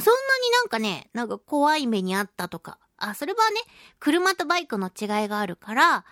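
A woman hosting a casual solo radio chat, talking in a playful, animated way with touches of mock-grand phrasing. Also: on the high side, no room sound, studio quality. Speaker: radio show host and voice actress.

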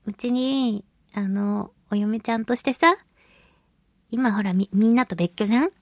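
Playful radio host lady, kinda high husky voice, real dry close sound, just chatting away to listeners. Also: no echo. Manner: playful, drawn-out words and colloquial asides to listeners. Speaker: radio show host, voice actress. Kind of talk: casual solo radio chat.

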